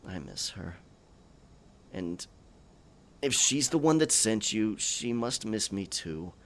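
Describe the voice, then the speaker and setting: A man playing a theatrical, sentimental pirate captain in a scripted audio roleplay, with a gravelly voice, archaic pirate talk and a halting, stammering dramatic delivery. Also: lowish voice, expressive, slight background noise. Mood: tender, regretful.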